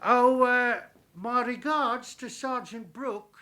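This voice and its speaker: An actor playing a sly, mock-deferential second-hand dealer with a London accent in a British radio drama. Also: male voice, very high-pitched, takes it slowly, pushed and bright, exaggerated voice. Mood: cheeky defiance. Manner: mock-deferential, evasive, cheeky. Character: sly, wheedling cockney second-hand furniture dealer, outwardly servile.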